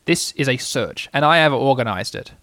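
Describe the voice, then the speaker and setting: A man's voice: medium pitch, lively intonation, normal speed, fairly bright tone, no room sound, lightly acted. A man voicing an officious, self-important rabbit who explains and gives orders in a storybook reading. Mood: bossy. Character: bossy, self-important, brisk organising male rabbit.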